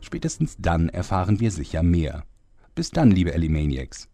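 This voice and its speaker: An actor playing the wry narrator of a fantasy soap parody in scripted, mock-solemn announcer style. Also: male voice, deep voice, sing-song, normal speed, mellow, no echo, studio quality, exaggerated voice. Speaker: narrator of a comedy audio drama.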